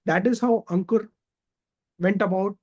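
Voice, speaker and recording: A male podcast host asks his guest questions politely and thanks him warmly for his answers. His voice is notably high for a man. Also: measured pace, highs cut off. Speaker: interview host.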